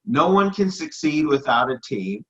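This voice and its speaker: A male podcast host with a husky but bright voice, heard on a dull lo-fi recording. He asks long, winding questions, restarting his sentences as he goes. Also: a little high, very animated, moderate pace. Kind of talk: casual interview questions.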